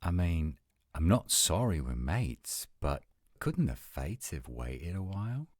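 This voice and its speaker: A man playing an adult male werewolf lover in a romantic roleplay audio, speaking in an intimate, measured, coaxing and reassuring way. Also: low voice, sing-song, darkish tone, no echo, clean studio sound, exaggerated voice. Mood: wry, concerned. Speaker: voice actor.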